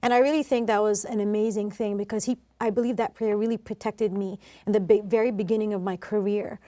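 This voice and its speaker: A woman's voice: medium pitch, steady tone, quick pace, mellow, dry recording. Chatty woman in a close, dry recording. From Philippines and New Zealand. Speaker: interview guest, singer and worship director. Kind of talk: casual personal storytelling.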